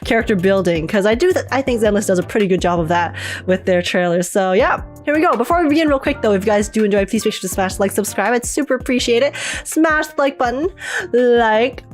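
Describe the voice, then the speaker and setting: A woman hosting a casual gaming livestream, chatting excitably, teasing herself and repeating phrases for emphasis. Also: on the high side, fast, soft background music. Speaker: livestream host and gaming reactor. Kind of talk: casual livestream chat and trailer reaction.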